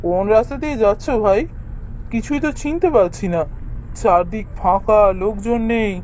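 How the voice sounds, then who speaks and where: A man's voice: sits high, expressive, moderate pace, a little mellow, slight background noise. A man voicing a guilty, anxious married writer in a horror cartoon, exclaiming and repeating himself. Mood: puzzled. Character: guilty, jumpy married male writer in ghost story. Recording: music behind the voice.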